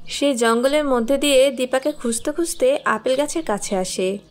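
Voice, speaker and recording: A woman narrating an animated fairy tale as a scripted storyteller. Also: high-pitched, moderate pace.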